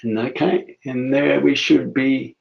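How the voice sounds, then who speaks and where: A man with an Australian accent hosting a webinar and demonstrating software live, talking in a chatty, halting way with many restarts. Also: mid-range voice, steady tone, vocal fry, dull audio, background noise.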